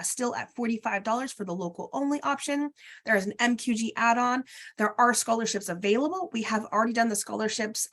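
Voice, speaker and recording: A woman's voice: mid-range voice, fairly bright tone. A woman with a slight cold hosting a guild meeting over video call, rambling through announcements with many ums and uhs.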